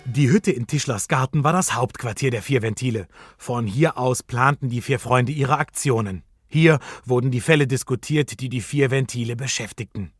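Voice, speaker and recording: A man's voice: medium pitch, moderate pace, faint music behind. A man narrating a children's detective radio play in a suspenseful, dramatic storytelling manner.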